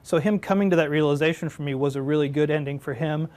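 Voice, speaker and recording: A man's voice: a little high, quick pace, a little mellow. A man speaking as a developer in a reflective interview. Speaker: franchise developer. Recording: music playing behind.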